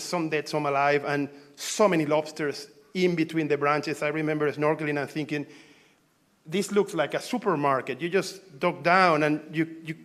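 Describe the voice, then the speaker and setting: A man's voice: on the high side, fairly bright tone. Venezuelan-born man giving a conference talk. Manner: conversational, halting, frequent fillers and restarts. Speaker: researcher presenting at a symposium.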